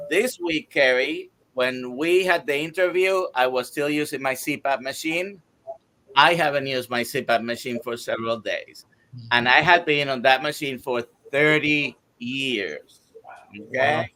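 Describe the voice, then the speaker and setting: A man in his sixties with a Puerto Rican accent speaks on a panel as a doctor. He rattles off facts in brisk, clipped lists broken up by "uh," and his voice sits in the middle range. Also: mid-range voice, bright, forceful tone. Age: 60s. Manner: brisk, listing facts in short bursts, with filler "uh". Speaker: doctor, roundtable guest. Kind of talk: panel discussion.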